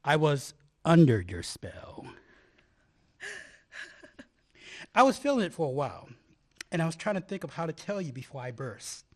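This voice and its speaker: A man's voice: fairly high pitch, wildly swooping pitch, unhurried, long pauses, heavily acted. An actor playing an earnest man in love, a historical researcher, in a dramatic radio-play style. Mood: teasing. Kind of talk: stage radio play acting.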